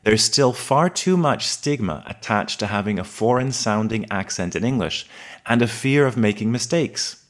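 A man hosting a podcast for advanced English learners, reading a scripted episode in a measured, articulate way. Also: lowish voice, expressive. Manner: measured, articulate, precise delivery.

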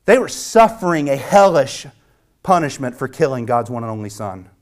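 A man from the American South preaching a sermon with a Southern accent, earnest and emphatic, repeating phrases and dropping at times to a hush. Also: mid-range voice, big pitch swings, moderate pace. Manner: swings from hushed near-whisper to loud emphatic preaching. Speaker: pastor.

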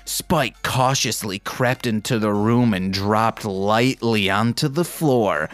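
A man's voice: lowish voice, takes it slowly, fairly bright tone, no echo. A man narrates fan fiction as a comedy podcast host, taking his time in a very dry, close recording. He plays the prose as mock-sultry, then undercuts it with self-deprecating asides in a casual tone.

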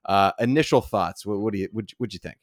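A man hosting a podcast in casual chat, with a jokey, animated way of reacting. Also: lowish voice, fast talker, no echo, studio quality. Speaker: podcast host.

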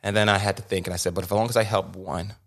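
Fast-talking young man, emphatic restarts. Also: sits low, steady tone, a bit bright. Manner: rapid, emphatic, with many restarts and repeated words. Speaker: podcast host. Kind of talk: candid conversational storytelling.

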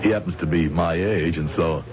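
A man's voice: sits low, normal speed, loud music behind the voice, close to an everyday voice. An actor playing an uneasy, ambitious married executive and ex-Army man in an old-time radio drama. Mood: rueful, wry. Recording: muffled old radio recording.

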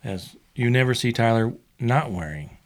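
A man's voice: mid-range voice, expressive, moderate pace. A man hosting a sports podcast, chatting casually and running through player news. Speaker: podcast host. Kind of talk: casual chat.

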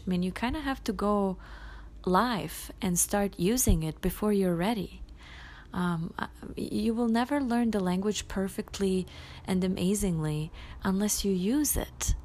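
A woman whose first language is Bosnian, hosting a casual solo podcast and talking in a rambling, self-reflective way that drops into soft near-whispers. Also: mid-range voice. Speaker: podcast host.

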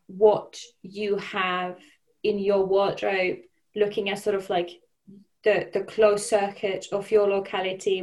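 A woman, a podcast guest speaking thoughtfully, with frequent fillers and restarts. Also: mid-range voice. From Finland. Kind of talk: conversational interview.

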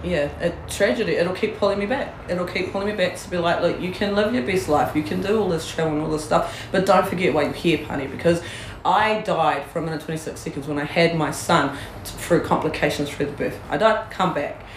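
A woman's voice: on the low side, brisk, few pauses, echoey room, slight background noise, poor audio. A woman with a New Zealand accent, a guest in a candid interview, talking bluntly, swearing freely and laughing often.

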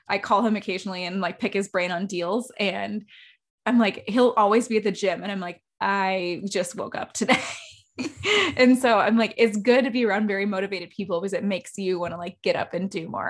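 A woman in her thirties hosting a podcast, chatting casually and self-deprecatingly in quick run-on sentences. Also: mid-range voice. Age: 30s. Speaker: podcast host. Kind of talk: casual interview chat.

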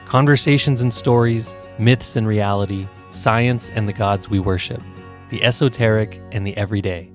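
Low-voiced man hosting a podcast. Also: moderate pace, soft background music. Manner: hesitant, many ums and restarts. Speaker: podcast host. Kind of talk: conversational interview.

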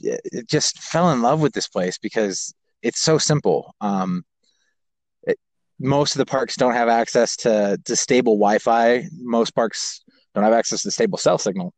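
A man's voice: mid-range voice, unhurried, no room sound. A man in his twenties, an American who grew up abroad, telling personal stories casually as a podcast guest. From American who grew up in Kazakhstan and Japan. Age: 20s. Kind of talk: casual personal storytelling in an interview.

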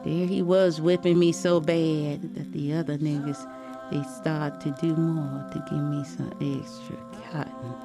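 A woman's voice: low voice, little pitch movement, measured pace, over music. A woman voicing an elderly, formerly enslaved Southern grandmother, sorrowfully remembering in weary dialect storytelling. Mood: pained, remembering.

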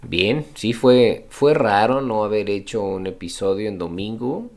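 A man co-hosting a podcast, relaxed and rambling with fillers. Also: lowish voice, measured pace. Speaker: podcast co-host. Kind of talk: podcast chat.

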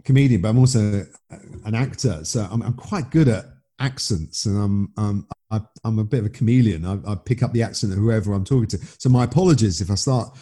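A chatty man with a dark, mellow tone and a slightly husky grain. He talks loosely and jokes at his own expense, tripping over restarts as he goes. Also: lowish voice, fairly quick, hardly any pauses. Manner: chatty, self-mocking, stammering restarts. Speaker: comedian and actor, host. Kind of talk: casual interview chat.

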